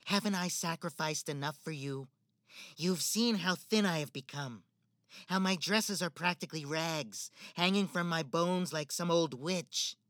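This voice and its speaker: A woman's voice: deep voice, a little slow, fairly bright tone, no echo, clean studio sound. A woman playing a cold, manipulative stepmother in a spoken fairy-tale drama. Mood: reproachful. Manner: manipulative, icy, theatrical.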